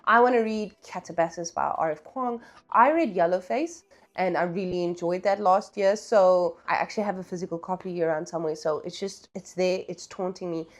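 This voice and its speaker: A woman's voice: on the low side, fairly quick, faint music behind. A woman talking casually in a book vlog, chatty and playful, with slangy, self-deprecating asides. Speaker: book vlogger.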